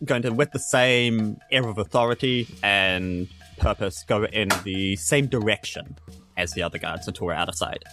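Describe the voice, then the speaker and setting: A man's voice: fairly low pitch, normal speed, over music. A man with a New Zealand accent chatting casually as a player in a tabletop role-playing game. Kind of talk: casual role-play chat.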